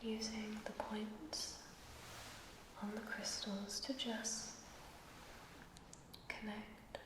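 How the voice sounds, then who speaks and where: A woman giving a soft-spoken ASMR relaxation treatment in a breathy near-whisper, gently guiding in short phrases with long pauses. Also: medium pitch, completely flat delivery. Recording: echoey room with loud background noise.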